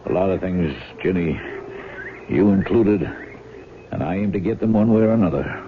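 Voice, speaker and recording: An actor playing a bitter, leering farm brother in a radio drama, with a sly, insinuating drawl. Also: male voice, sits low, animated, normal speed. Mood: threatening. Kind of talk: 1970s radio drama acting. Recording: muffled, noisy old radio broadcast.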